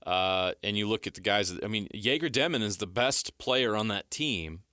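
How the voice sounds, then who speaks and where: A man's voice: fairly low pitch, moderate pace, bright, forceful tone, no room sound, clean studio sound. A man co-hosting sports radio with blunt, emphatic banter and analysis.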